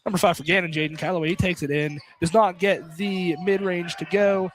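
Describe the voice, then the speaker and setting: Male basketball announcer, conversational play-by-play, pitched a bit high, background noise on the broadcast. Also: fairly even intonation, a little fast. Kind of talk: live college basketball play-by-play commentary. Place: courtside at a college basketball game. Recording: clearly noisy live radio broadcast.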